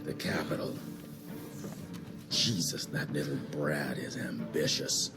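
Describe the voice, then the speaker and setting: An actor playing a gruff, seasoned vampire hunter in a video game, speaking tersely. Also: male voice, a bass voice, slow, exaggerated voice. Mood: grim surprise. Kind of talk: dramatic video game voice acting. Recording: loud music behind the voice.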